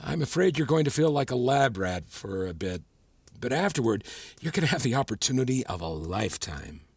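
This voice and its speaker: Coaxing patronizing professor type in a podcast drama, gravelly voice, really dry close sound. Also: male voice, mid-range voice, very animated, a little fast, darkish tone, no room sound, full character voice. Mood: businesslike, persuasive. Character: persuasive, condescending male professor and tribunal official. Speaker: actor in a fiction podcast. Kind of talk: audio drama dialogue.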